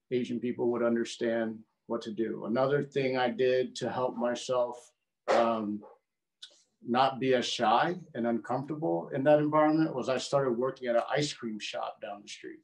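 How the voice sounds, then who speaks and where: A man's voice: medium pitch, fairly even intonation, a little slow, darkish tone, slight background noise, rough recording. A man in his forties from Houston, a native Vietnamese speaker, earnestly telling his life story in an oral history interview over a video call from home. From Houston, Texas. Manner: earnest, with frequent "you know" fillers. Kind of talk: reflective personal storytelling. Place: home room, indoors.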